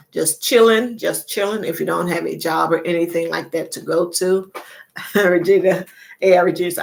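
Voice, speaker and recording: Older female host, gravelly voice, chatty and quick to laugh. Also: lowish voice. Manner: chatty, laughs often, repeats phrases. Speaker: livestream host. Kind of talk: casual live chat reading viewer comments.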